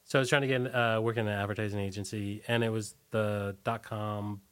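A middle-aged American man being interviewed, chatting casually in a halting, informal way with "like" sprinkled through his sentences. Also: fairly low pitch, level tone, clean tone. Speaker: interview guest, musician.

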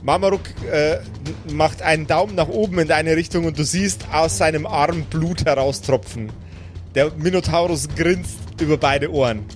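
A man working as game master, narrating an improvised tabletop adventure in deliberate, descriptive phrases. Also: fairly high pitch. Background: music under the voice.

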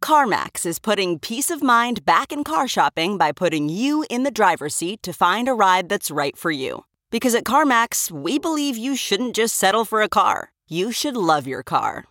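A woman reading a scripted commercial in a smooth, upbeat manner. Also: medium pitch, very animated, normal speed, few pauses, a bit bright, no echo, clean studio sound. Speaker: ad announcer.

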